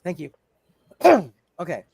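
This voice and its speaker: A man with a fairly high voice acts as game master for a superhero roleplay session. He talks in halting bursts, often repeating words as he works through the rules. The sound is dry, with little room in it. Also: huge pitch swings, creaky, a little mellow, slightly rough, no room sound. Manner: halting, repeats words while thinking aloud. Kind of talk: running a live tabletop superhero roleplay game.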